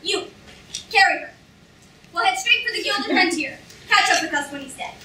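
A man's voice: very high-pitched, expressive, normal speed, bright, forceful tone, heavily acted. A young man acting an arrogant, impatient criminal mastermind in a theatrical staged reading. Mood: commanding. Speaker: student actor in a staged reading. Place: lecture room stage before an audience. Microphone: far camera in the audience. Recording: echoey hall, distant camera, noisy.

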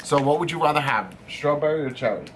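A man's voice: fairly high pitch, animated, fast talker, a little hiss or hum. A man, chatting casually and swearing as he tastes candy on his vlog. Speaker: host. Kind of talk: casual candy-tasting chat. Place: studio room with equipment racks.

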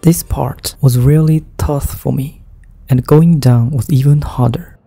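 A man narrates a travel vlog in a slow, unhurried voice-over. His tone is deep, dark and mellow, with a gravelly edge. He speaks careful, measured learner's English over soft background music. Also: fairly even intonation, no room sound. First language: Japanese. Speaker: travel vlogger.

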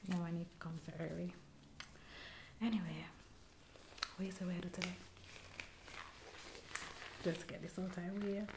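Curt jamaican barber lady, a woman puts her on, gravelly low whisper right up on the mic. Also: fairly even intonation, a little slow, pauses often, background noise. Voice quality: soft-spoken, often whispering. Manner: curt and scolding. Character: rude, impatient female barber. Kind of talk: ASMR personal attention roleplay. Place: small indoor room. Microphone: close binaural mic, leaning toward the camera.

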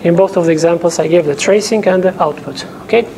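Young man, non-native English, lecture room, lapel mic, faint room hum, steady classroom explaining. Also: high-pitched, fast talker. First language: not English. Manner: explains step by step, checks in often with "okay?". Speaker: teaching assistant. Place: lecture room with a projector and whiteboard.

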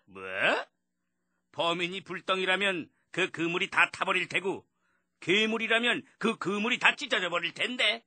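A man voicing a mocking, scornful older prince in a children's puppet play, with sneering, drawn-out taunts. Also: high voice, pushed and bright, no echo, exaggerated voice. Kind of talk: children's puppet theatre acting.

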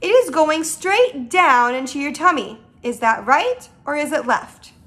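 A woman voicing an excitable, indignant giant man in a storybook, with muddled grammar and emphatic exclamations. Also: high-pitched. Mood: explaining. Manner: emphatic, exclaiming, drawn-out words in mangled grammar.

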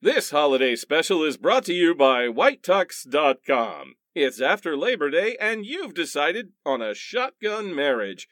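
Man playing an animated, flippant wisecracker. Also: a little high, unhurried, hardly any pauses, dry recording, clean studio sound. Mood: mock salesman. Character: wisecracking, flippant man, skeptical holiday time traveler. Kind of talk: comic radio play acting.

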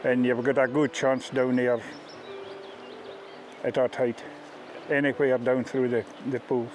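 Man, middle-aged, Scottish accent, fishing ghillie, halting informal explanation, plain-spoken, faint outdoor noise. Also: medium pitch, level tone. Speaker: fishing ghillie on a river beat. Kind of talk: informal interview-style explanation of a fishing beat. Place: outdoors on a riverbank. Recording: outdoor ambient noise behind voice.

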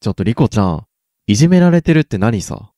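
A man's voice: fairly low pitch, big pitch swings, fast talker, dry recording, clean studio sound. A young man playing a teasing, supportive schoolboy in a radio drama. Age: young adult. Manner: playful, gently needling. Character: teasing, supportive schoolboy best friend Ayato. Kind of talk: Japanese student radio drama acting.

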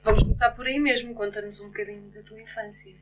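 Casting: woman interviewer, mid pitch, casual questions heard far from the mic over light hum. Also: fairly even intonation, fast talker. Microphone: off camera, far from microphone. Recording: distant off-mic, faint hum.

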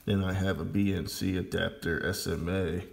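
A man giving a casual, unscripted hands-on demonstration of his hobby equipment, talking in a halting way. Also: low-pitched, fairly even intonation, gravelly. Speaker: amateur radio hobbyist demonstrating gear.

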